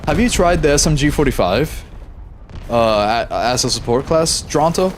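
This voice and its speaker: A man's voice: mid-range voice, lively intonation, a little hiss or hum. Easygoing young man streaming a shooter. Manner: easygoing, chatty, reads chat aloud, quick reactions mid-fight. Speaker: gaming streamer. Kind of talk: casual live-stream chat while playing.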